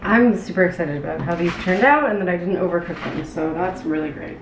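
Female cooking host, thirties, lowish register, informal and halting delivery; roomy kitchen sound with clear background noise. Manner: loose, halting chat with frequent "like". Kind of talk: casual cooking demonstration, talking while working. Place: small indoor kitchen with tiled floor. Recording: roomy, distant mic with loud room noise.

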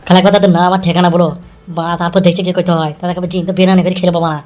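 A man's voice: sits high, flat delivery, racing, darkish tone, faint music behind, natural voice. A man playing a boastful, scheming, eager henchman in an amateur comedy cartoon, with a cocky rattling delivery.

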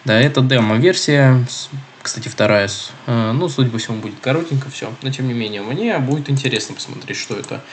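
A man's voice: medium pitch, brisk, a little hiss or hum. A young man with a cold and a nasal, stuffy voice, chatting casually through a game and reading its text aloud. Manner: chatty, rambling, self-correcting. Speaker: gaming video host.

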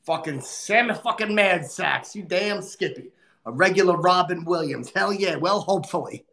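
A man playing a crude, boastful, short-tempered male comic, cursing and griping as he hosts a livestream in a nasal, gravelly put-on voice. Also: high voice, moderate pace, bright, forceful tone, a little hiss or hum, full character voice. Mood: crude ranting. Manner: profane, insulting, exasperated rants. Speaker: livestream host. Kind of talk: livestream comedy character rant.

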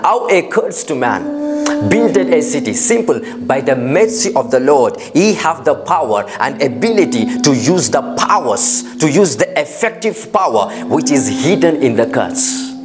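A man from India preaching a sermon, emphatic and repetitive, with frequent restarts. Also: fairly high pitch.

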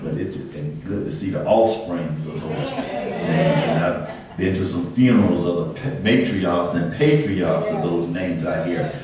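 A man preaching conversationally in church as a pastor, often calling on the congregation to respond. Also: low-pitched. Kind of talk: church sermon. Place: indoor church, speaking from pulpit to congregation. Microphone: handheld microphone through PA, picked up by distant camera. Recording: echoey church hall, distant camera microphone, congregation responding.

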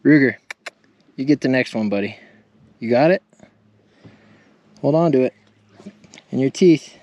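A man's voice: medium pitch, lively intonation, unhurried, a little mellow, dry recording, highs cut off. Man narrating his fishing from a boat. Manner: upbeat play-by-play narration. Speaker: fishing channel host. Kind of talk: casual fishing commentary. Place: outdoors on a boat on a lake. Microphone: action camera.

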